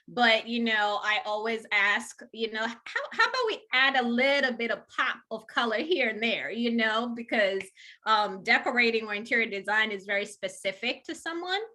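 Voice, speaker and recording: A woman's voice: on the high side, lively intonation, normal speed, pushed and bright. A woman from Rwanda and Uganda, a home organizer, talking enthusiastically in a casual interview with many fillers. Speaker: professional organizer, guest.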